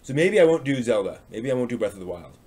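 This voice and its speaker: A man hosting a casual livestream, rambling and thinking aloud as he chats with viewers in a halting way. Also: medium pitch, very animated, a little mellow, slight background noise. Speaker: live stream host. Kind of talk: casual livestream chat, answering viewers' comments.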